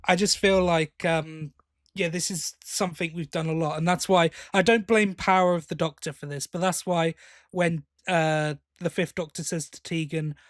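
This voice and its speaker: A man's voice: on the high side, fairly even intonation, normal speed, a bit bright, dry recording, studio quality. A man co-hosting a podcast, giving his opinions in a casual fan discussion. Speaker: podcast co-host.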